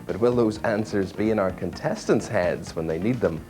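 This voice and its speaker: A man's voice: lowish voice, moderate pace, over music. A man hosting a game show, explaining the rules and teasing the contestants in a chatty way.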